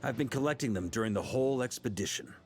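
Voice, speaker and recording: A man's voice: medium pitch, quick pace, loud music behind the voice, lightly acted. An actor playing a confident male knight commander in a video game, assured and lightly amused.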